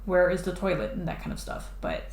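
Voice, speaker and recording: A woman's voice: fairly low pitch, very animated, a little fast. A woman streaming live while drawing a comic, chatting casually and rambling, with many fillers and restarts. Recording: echoey room, faint background noise.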